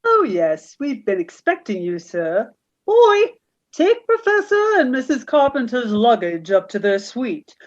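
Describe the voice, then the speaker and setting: A woman's voice: fairly high pitch, very animated, measured pace. A chatty hotel receptionist voiced by a woman in a radio play, deferential yet nosy. The delivery swoops up and down, and the recording is slightly dull. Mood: fawning, surprised.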